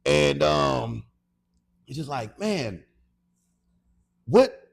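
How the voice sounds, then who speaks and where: American male co-host, late forties, heavy vocal fry and raspy tone, conversational and reflective. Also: fairly high pitch, lively intonation, drawn-out words. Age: late 40s. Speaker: podcast co-host.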